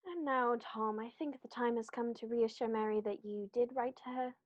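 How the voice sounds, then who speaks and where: A woman's voice: a little high, fairly even intonation, moderate pace, muffled recording, lightly acted. A woman playing a shrewd male Victorian detective in a 1940s-style radio play, speaking formally and with authority. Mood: grave, incisive.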